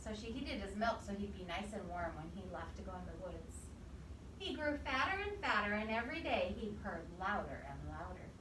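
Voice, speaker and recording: A woman reading a storybook aloud to young children, expressive, pausing to ask simple questions and repeat answers. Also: mid-range voice. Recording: echoey, distant mic, clear background noise.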